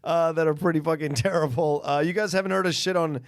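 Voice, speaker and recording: A man's voice: a little high, steady tone, fast talker. A man co-hosting a podcast, talking casually and profanely about movies in an exasperated, emphatic way. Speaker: podcast co-host.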